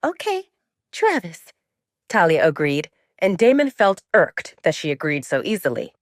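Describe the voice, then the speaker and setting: A woman narrates fiction in a dramatic, scripted style. Her voice sits slightly low for a woman and moves with animated intonation, recorded close and dry. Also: moderate pace, no room sound. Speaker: audiobook narrator.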